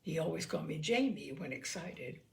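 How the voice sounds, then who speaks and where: A woman reading a literary story aloud as an expressive narrator. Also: deep voice, moderate pace, vocal fry. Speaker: storyteller, reader.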